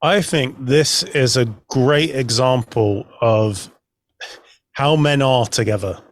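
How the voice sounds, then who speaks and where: A man's voice: medium pitch, takes it slowly, no echo. A man talking casually and reflectively as a guest on a podcast. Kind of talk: casual discussion.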